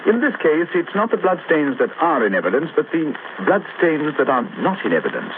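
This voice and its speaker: An actor playing a self-assured, needling gentleman detective, precise and condescending, with a British accent, in a 1940s radio drama. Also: fairly high pitch, huge pitch swings, brisk. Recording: noisy, tinny old radio recording.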